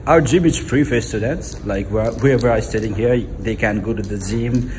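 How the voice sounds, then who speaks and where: A man's voice: lowish voice, moderate pace, darkish tone, slight background noise. Nepali-accented young man vlogging by phone. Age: 20s. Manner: chatty, lots of fillers like "like" and "uh". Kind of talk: casual vlog chat. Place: college gym and campus halls, indoors. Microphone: phone camera at arm's length.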